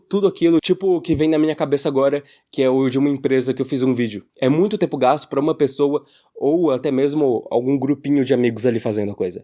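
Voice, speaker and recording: Brazilian male YouTuber, slightly high register, informal and slangy, for casual opinion commentary. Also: mellow.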